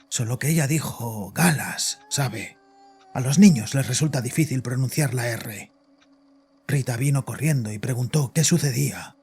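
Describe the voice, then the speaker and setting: An actor playing a distraught, domineering father in an audio drama, with a gravelly, husky voice and a halting, anguished manner of confessing. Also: mid-range voice, darkish tone. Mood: uneasy, troubled.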